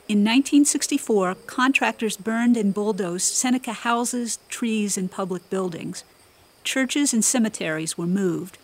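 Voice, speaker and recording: Woman radio reporter, mid pitch, even scripted read. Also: unhurried, poor audio. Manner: even, clear reading. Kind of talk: scripted news narration.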